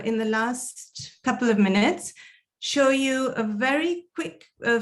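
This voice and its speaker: A woman delivers a university lecture in a calm, explanatory way. Her voice sits at a middle pitch, and she often pauses with an um or an uh as she works through each point. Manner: measured, explanatory, with frequent um and uh. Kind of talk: academic lecture.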